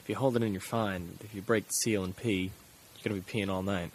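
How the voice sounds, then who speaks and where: A man's voice: low voice, steady tone, fast. A man playing an earnest, easily rattled teenage boy in an audio drama, speaking in a casual, slangy way. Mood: recounting. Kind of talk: audio drama acting and first-person recounting. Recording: faint background noise.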